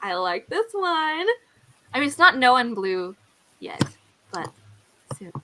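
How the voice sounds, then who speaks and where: A young-sounding, high-pitched woman leads a casual group book chat. Her tone is bright and forward, with a giggly, animated way of reacting. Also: slow pace, a little hiss or hum. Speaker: live book discussion host.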